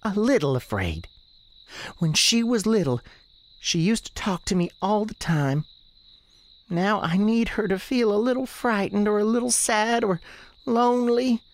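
A woman's voice: mid-range voice, expressive, measured pace. A woman playing the restless, defiant ghost of a sixteen-year-old novice nun in a radio drama, earnest and plaintive, with folksy phrasing. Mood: pleading. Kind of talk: dramatic radio theatre dialogue.

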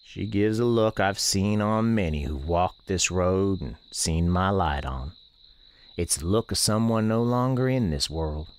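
A man narrating a radio play as a gentle country storekeeper, with folksy, colloquial phrasing. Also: low-pitched, measured pace. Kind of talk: first-person storytelling in a radio play.

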